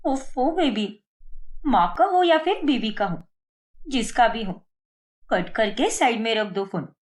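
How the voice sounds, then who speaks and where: A woman's voice: fairly high pitch, expressive, slow pace. A woman voicing a pampered, possessive young girlfriend in a cartoon story, cooing and petulant. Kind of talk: Hindi cartoon moral-story voice acting.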